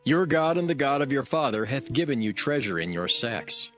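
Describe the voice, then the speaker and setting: An actor playing a kindly, reassuring steward in a dramatised scripture reading. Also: male voice, medium pitch, big pitch swings, normal speed, sharp tone, soft background music.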